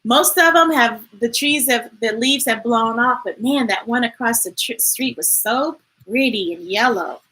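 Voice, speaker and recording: A woman hosting a children's storytime, reading aloud in an expressive, playful, emphatic way with chatty asides to viewers. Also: on the high side, a little slow, hardly any pauses, breathy edge, rough recording.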